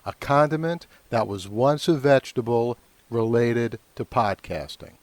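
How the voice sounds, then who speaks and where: A man's voice: mid-range voice, expressive, measured pace, dry recording. A middle-aged man talking solo as the host of a podcast.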